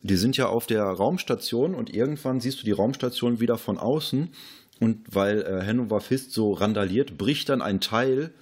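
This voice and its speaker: Lower-voiced, husky man in conversation. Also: normal speed, darkish tone. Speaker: podcast co-host. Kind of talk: casual film discussion.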